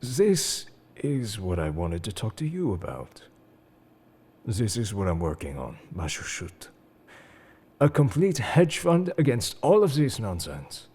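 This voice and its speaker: A man playing a suave, enigmatic, quietly world-weary secret agent in an intimate, flirtatious audio roleplay, speaking to the listener in a coaxing, theatrical way. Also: on the low side, big pitch swings, measured pace, heavily acted. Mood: suave, confiding. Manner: smooth-talking, coaxing, theatrical. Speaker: voice actor in fan audio roleplay.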